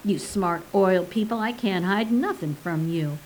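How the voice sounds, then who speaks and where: A woman's voice: mid-range voice, a little slow, darkish tone, slight background noise, natural voice, poor audio. A woman voicing an old male Cajun swamp recluse who speaks in clipped, broken dialect with emphatic insistence. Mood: mocking. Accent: Cajun English.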